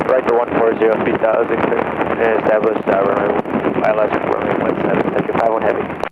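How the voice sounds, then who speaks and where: A man's voice: fairly low pitch, completely flat delivery, fairly quick. A man, a pilot, giving clipped readbacks over cockpit aviation radio. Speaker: military aircraft pilot. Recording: muffled, very noisy cockpit radio.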